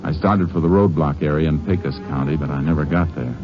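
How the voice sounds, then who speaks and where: A steady, very deep-voiced Texas Ranger telling his case in a 1950s radio western. He is terse and matter-of-fact, with loud music behind him on a dull vintage recording. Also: fast talker. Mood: grave, matter-of-fact. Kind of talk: 1950s radio drama first-person narration.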